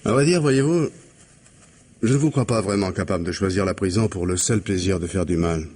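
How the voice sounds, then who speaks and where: An implacable police investigator in a vintage French radio mystery. The voice is low and husky, and he speaks with deliberate, articulate authority, giving orders like someone who will not be moved. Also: darkish tone. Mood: coldly triumphant. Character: stern, implacable male police investigator confronting a suspect.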